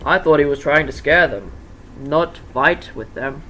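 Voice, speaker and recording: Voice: mid-range voice, unhurried, bright, forceful tone. A performer voicing a bossy, flirtatious female animatronic chicken in a fan fiction reading. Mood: curious. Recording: noisy amateur home recording.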